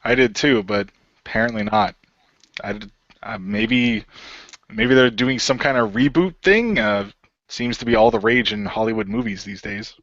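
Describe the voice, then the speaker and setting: A man's voice: on the low side, moderate pace, fairly bright tone, no echo, lo-fi sound. A man playing a puzzled, easygoing middle-aged man in an amateur radio play, speaking casually and hesitantly. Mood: puzzled, awkward.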